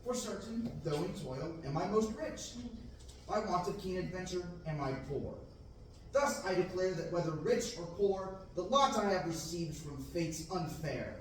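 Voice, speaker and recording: A man's voice: high-pitched, expressive, a little slow, slight background noise. Yearning young farm boy, read by a man; declamatory verse, distant mic in a roomy hall. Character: restless young farm boy dreaming of adventure. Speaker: table-read actor. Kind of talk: amateur Shakespearean table reading. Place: large classroom, read from a table. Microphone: far room camera. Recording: echoey room, distant mic.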